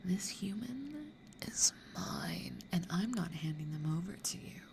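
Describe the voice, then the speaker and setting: A woman's voice: fairly low pitch, big pitch swings, background noise, full character voice. A woman playing a seductive, possessive succubus demon in an ASMR roleplay, teasing and often half-whispering. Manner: slow, teasing, breathy half-whispered delivery.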